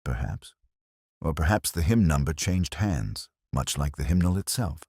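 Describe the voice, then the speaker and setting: A man voicing a courteous, quietly incisive police inspector who questions gently in a mystery story. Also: a bass voice, expressive, normal speed, no room sound, clean studio sound. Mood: calm probing.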